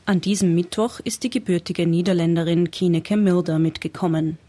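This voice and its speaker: Woman narrator for a radio feature, husky and a touch low, measured reads. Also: steady tone, warm tone. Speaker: radio reporter. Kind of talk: scripted narration.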